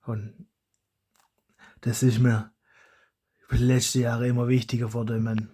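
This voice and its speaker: A man's voice: mid-range voice, little pitch movement, moderate pace, mellow, slightly rough. A man with a German regional dialect, hosting his hobby channel in a rambling, self-correcting casual monologue.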